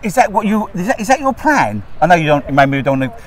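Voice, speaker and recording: A middle-aged man asking casual post-match questions as an interviewer outdoors on a football pitch. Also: sing-song, fast talker. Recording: off-mic outdoors, people in background.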